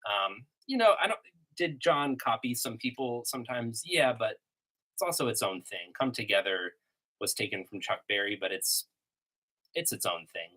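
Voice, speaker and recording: A man hosting a casual livestream Q&A about guitar, talking with a slight cold and a sniffly sound. He rambles in a relaxed way, with many ums and restarts, and plays guitar between answers. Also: medium pitch, moderate pace, poor audio. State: has a cold, a little sniffly. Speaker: livestream host answering chat questions. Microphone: iMac desktop microphone. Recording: home desktop computer recording. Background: guitar playing between answers.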